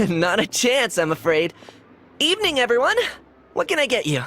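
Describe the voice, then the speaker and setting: A woman's voice: on the high side, sing-song, moderate pace, fairly bright tone, soft background music. A woman playing a cheerful, hospitable chef in a video game, with a breezy, obliging way of talking. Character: cheerful, hospitable restaurant chef taking orders. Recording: faint ambient noise behind.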